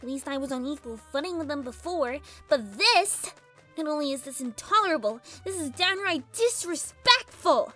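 A very high-pitched little girl pony villain for an audio drama. She is bratty and indignant, whining in exasperation with lively swings of pitch, over clear background music. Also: moderate pace. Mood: resentful. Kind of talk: fan fiction audio drama acting.

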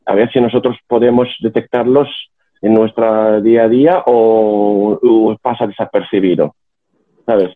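A young man speaking on a video call, joking and halting, with many restarts. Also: on the low side, steady tone. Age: young adult. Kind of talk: casual chat on a video call. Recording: tinny phone-like video call audio.

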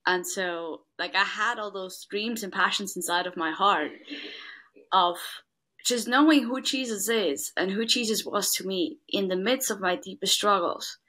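A woman's voice: mid-range voice, measured pace, sharp tone. A young woman from Switzerland, talking earnestly as an interview guest and saying "like" often. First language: Swiss German. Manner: earnest, says "like" a lot. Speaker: guest, works at a refugee school. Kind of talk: casual interview talk.

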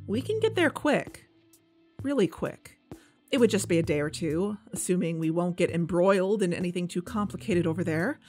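A woman's voice: fairly low pitch, sing-song, normal speed, darkish tone, faint music behind. A woman playing an eager, determined amateur investigator in an audio drama, with a persuasive, urgent manner. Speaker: investigator and podcast co-host.

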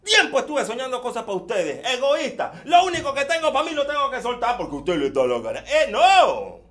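Desperate villagers: high, loud, scolding. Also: male voice, big pitch swings, fairly quick, full character voice. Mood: desperate angry. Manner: scolding, pleading shouts. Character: desperate, angry village folk, mixed ages.

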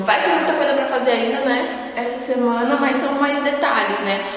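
A young woman with a Brazilian accent chats casually to camera, explaining things as she shows them around. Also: mid-range voice. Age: young adult. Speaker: home vlogger. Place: bare tiled rooms of a newly renovated house. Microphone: handheld camera at arm's length. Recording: very echoey empty room, faint noise.

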